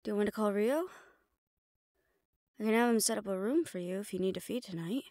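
A woman's voice: medium pitch, fairly quick, a little mellow, no room sound, clean studio sound, natural voice. A woman playing a tough, loyal assistant to a mafia boss in a casual, familiar audio roleplay. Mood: casual, warm. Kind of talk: intimate audio roleplay acting.

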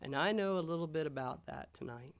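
An American man in his thirties preaching a sermon as a pastor in a measured, pausing way. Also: fairly high pitch, clear, resonant tone. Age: 30s. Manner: measured, pausing, rhetorical questions. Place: church sanctuary, indoors. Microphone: gooseneck pulpit microphone.

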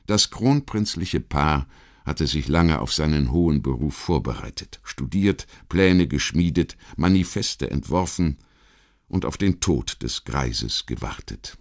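A man with a very low-pitched voice narrates a documentary audiobook. He reads from a script in a measured, formal manner. The delivery is that of a thoughtful essay read aloud.

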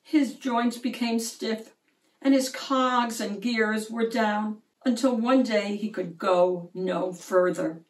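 A woman reading a children's picture book aloud in an expressive, animated storytelling manner. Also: a little high, slow pace. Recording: roomy, distant mic.

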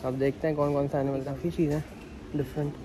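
A man's voice: fairly high pitch, little pitch movement, fast, low-quality recording. A young man vlogging on a phone held at arm's length, chatting to viewers outdoors and on a train. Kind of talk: casual travel vlog narration. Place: outdoors on city streets and on a train. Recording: loud music under the voice.

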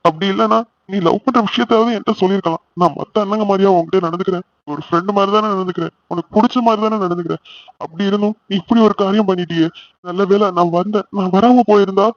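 Protective big brother for family drama male actor: highish voice, rapid, earnest pleading, muffled lo-fi sound. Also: fairly even intonation, darkish tone, dry recording, close to an everyday voice. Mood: tearful, hurt. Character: protective, emotional young adult older brother pleading with family. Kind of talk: melodramatic family audio drama.

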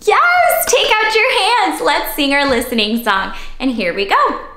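Very lively, high-pitched young woman reading to children. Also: unhurried. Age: young adult. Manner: animated, exaggerated child-directed delivery with questions. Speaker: children's read-aloud host. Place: small furnished room indoors. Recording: roomy, echoey home room sound.

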